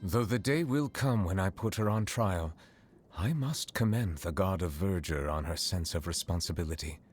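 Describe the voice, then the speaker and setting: A low-pitched, grave video game character acted by a man: an ancient dragon who sits as chief judge. He is courtly and reserved, choosing formal words with stately care. Music plays underneath. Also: lightly acted. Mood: grave, respectful. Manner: formal, measured, stately diction. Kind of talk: scripted game character voice lines.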